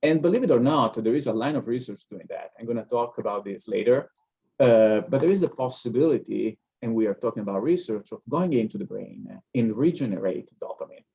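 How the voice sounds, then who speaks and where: A man with an Italian accent, a neurologist explaining things on a video-call webinar, pausing often with hesitant fillers. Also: medium pitch, lively intonation, creaky, dark tone, husky. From Italy.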